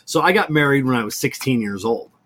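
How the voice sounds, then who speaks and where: A man's voice: medium pitch, expressive, quick pace, fairly bright tone. A man in his forties hosting a casual talk show, joking and often doubling back on his words. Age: 40s. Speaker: cigar talk show host. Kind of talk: casual chat.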